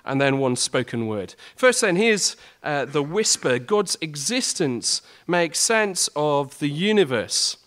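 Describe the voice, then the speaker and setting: A man's voice: a little high, a bit bright. A young man, a student, giving a prepared talk to fellow students in an earnest style and building his points with rhetorical questions. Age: young adult. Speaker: student speaker.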